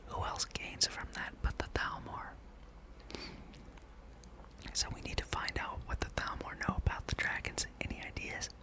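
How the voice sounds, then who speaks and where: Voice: moderate pace, long pauses, natural voice. Whispering wary fantasy rebel read by a gamer. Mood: wary, serious. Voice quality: breathy whisper. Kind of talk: whispered read-aloud of fantasy game dialogue.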